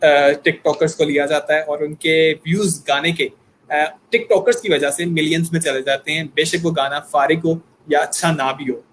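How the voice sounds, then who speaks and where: A man's voice: fairly high pitch, a little hiss or hum, rough recording. A man with a Pakistani Urdu accent, a guest on an online discussion, explaining his points with examples over a video call. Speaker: guest, YouTuber and anchor.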